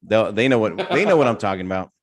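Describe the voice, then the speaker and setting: Hesitant low-voiced man. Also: lively intonation. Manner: hesitant, many "uh" pauses. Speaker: podcast co-host. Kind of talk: casual podcast chat.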